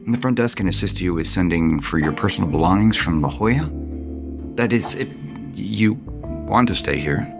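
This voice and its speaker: World-weary rich man, low-pitched, guarded, affectionate, hesitant, intimate soap opera drama. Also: expressive, normal speed, over music. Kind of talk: audio soap opera acting.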